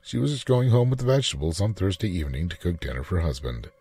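A man reading a short story as a dramatic, expressive narrator. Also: sits low, fast, breathy edge.

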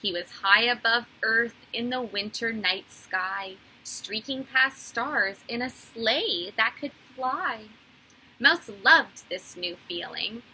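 A woman in her thirties reading a children's story aloud like a teacher, in a deliberate, animated storytelling way. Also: a little high, husky, low-quality recording. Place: small indoor room.

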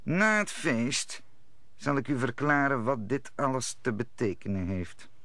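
An actor playing a scheming, powerful cardinal with smooth words in a radio play. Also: male voice, mid-range voice, animated, measured pace, fairly bright tone, dry recording. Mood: calculating.

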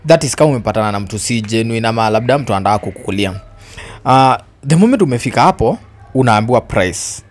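A man's voice: medium pitch, animated, fast. A Kenyan man in his twenties hosting a casual storytelling chat, mixing Swahili and English. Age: 20s. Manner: code-switching between Swahili and English. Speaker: host. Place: indoor living room on a sofa. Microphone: shared handheld microphone.

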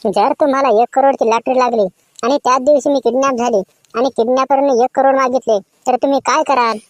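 A woman playing a sassy, quick-witted wife in a cartoon comedy skit. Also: sits high, steady tone, slight background noise, natural voice. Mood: sassy, teasing.

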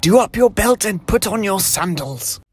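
Woman doing a low loud angel bossing someone out of jail, kinda husky, dry close sound. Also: expressive, normal speed, no room sound. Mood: urgent. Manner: urgent, firm commands. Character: commanding male angel, urgent rescuer.

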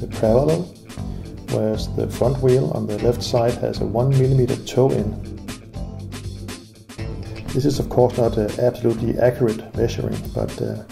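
Methodical male voice-over with a gravelly edge, steady mid pitch, music running underneath. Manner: calm, methodical, frequent "uh" pauses. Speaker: classic car owner explaining repairs. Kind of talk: narrated garage repair vlog.

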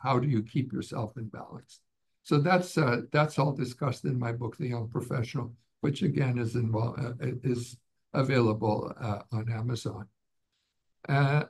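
A man's voice: mid-range voice, breathy edge. A middle-aged man from Canada, a guest author talking in an office over a video call, with many fillers and restarts. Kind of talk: casual interview on a video call. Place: office indoors. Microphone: webcam on a video call.

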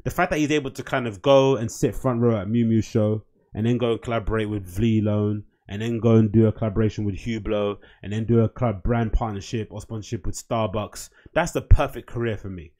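A man's voice: lowish voice, steady tone, brisk, mellow. Chatty man rambling on a podcast. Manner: rambling, chatty, reads captions aloud in mock voices. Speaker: podcast host. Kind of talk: casual solo commentary. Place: small home room with bookshelves. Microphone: desk mic on boom arm.

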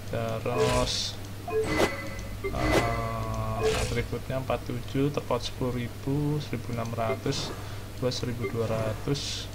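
A man with a gravelly, mid-pitched voice comments casually on his game, reeling off numbers for his viewers. He speaks with an Indonesian accent, and loud game music plays under him. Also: flat delivery, a little slow, low-quality recording. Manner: chatty, reads numbers aloud, addresses viewers. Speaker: gaming streamer.

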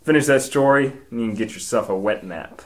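A man's voice: medium pitch, a little fast, voice pushed down, natural voice. A man playing a self-important, stern investigator questioning schoolchildren with melodrama in a comedic radio drama. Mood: stern, pompous.

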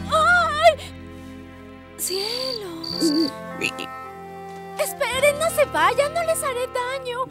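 A woman voicing a timid but kind little girl in an expressive, earnest way for a children's cartoon. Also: very high-pitched. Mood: frightened. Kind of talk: Spanish-dubbed children's cartoon acting. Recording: music behind the voice.

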